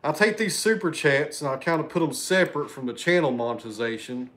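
A man's voice: on the high side, animated, a little fast, a bit bright. A man, hosting a casual livestream from his living room and chatting with viewers. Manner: chatty, thanks viewers by name, loses his train of thought. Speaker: livestream host. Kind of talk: casual livestream chat reading super chats. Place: living room at home, indoors.

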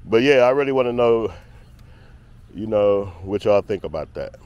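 Low-voiced guy rambling on a dog walk outside, camera on him, easygoing chat. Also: normal speed, lo-fi sound. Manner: relaxed, rambling, talks to his dog between thoughts. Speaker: vlogger and dog owner. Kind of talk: casual walk-and-talk vlog. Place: outdoors in a grassy park.

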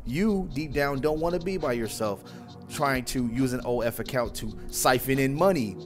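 A man's voice: medium pitch, normal speed, music in the background. A young man hosting a reaction video from a small room at home, talking casually and mockingly into a wired earphone mic. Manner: casual and mocking, says "bro" often. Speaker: reaction channel host.

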